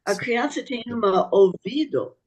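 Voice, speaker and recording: A middle-aged woman who learned Portuguese late in life, speaking on a panel in a halting way with many restarts. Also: on the high side, animated, a little mellow. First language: not Portuguese. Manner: halting, restarts, laughs often. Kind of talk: panel talk. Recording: dull lo-fi sound.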